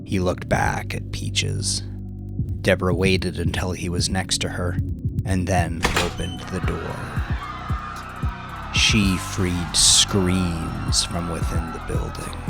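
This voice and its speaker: A man giving grave, deliberate, suspenseful narration of a crime story, with music underneath. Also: low voice, wildly swooping pitch, creaky. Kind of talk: dramatic scripted narration of crime fiction.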